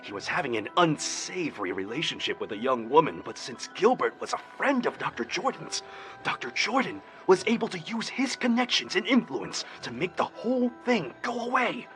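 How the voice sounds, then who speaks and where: An actor playing an eager professor in an audio drama, excitedly revealing what old archives hold and reading aloud theatrically. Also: male voice, a little high, normal speed, faint music behind. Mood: conspiratorial, revealing. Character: eager scholarly male professor digging through old archives.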